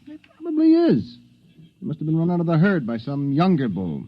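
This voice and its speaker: An actor playing a wry, easygoing male time traveler in a 1950s radio drama, animated in delivery. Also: a little high, fairly quick. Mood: musing. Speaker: Wes, time traveler stranded in prehistory. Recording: dull lo-fi 1950s radio broadcast.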